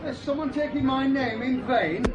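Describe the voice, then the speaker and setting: An actor playing a jovial, sociable English gentleman with a hearty, urbane manner in an audio thriller. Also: very high voice, normal speed, highs cut off, a crowd in the background, background noise. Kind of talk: spy thriller audio drama acting.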